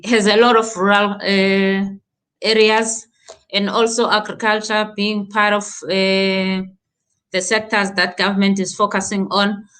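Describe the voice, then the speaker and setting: A woman from Africa, a regulator answering questions on a panel. She talks in a measured, hesitant way with many pauses and fillers. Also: medium pitch, a bit bright. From South Africa.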